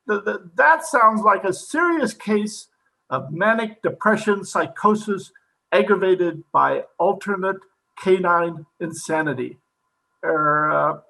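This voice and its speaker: A man playing an eccentric, absent-minded psychiatrist, halting and pompous, in old-time radio comedy style. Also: sits high, vocal fry, pushed and bright, full character voice, poor audio. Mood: pompous, grave.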